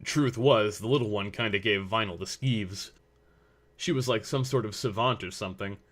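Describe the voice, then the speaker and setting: A man serves as narrator for a piece of fiction. His voice sits fairly low as he reads the scripted prose. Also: moderate pace, fairly bright tone.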